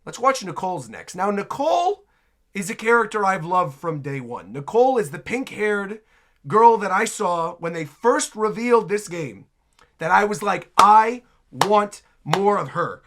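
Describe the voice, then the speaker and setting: A man giving casual reaction commentary, excitable and often repeating himself for emphasis. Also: high voice, moderate pace. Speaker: reaction video host.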